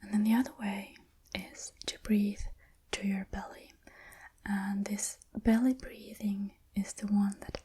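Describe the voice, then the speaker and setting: A woman whispering a calm, guided breathing lesson, counting slowly aloud and explaining each step. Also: mid-range voice, breathy edge. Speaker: breathing instructor.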